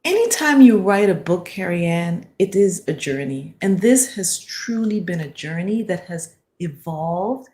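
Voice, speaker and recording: A woman from the Caribbean, born in Guyana and raised in Barbados, a guest author telling her story in an interview with an earnest, measured manner. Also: fairly low pitch, animated, warm tone. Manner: earnest and measured, builds lists of phrases.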